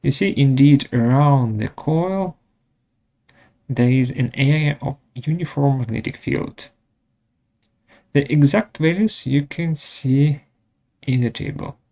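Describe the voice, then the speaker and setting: A man presenting a step-by-step software tutorial in short, measured, halting phrases. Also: mid-range voice, vocal fry. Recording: dull lo-fi audio.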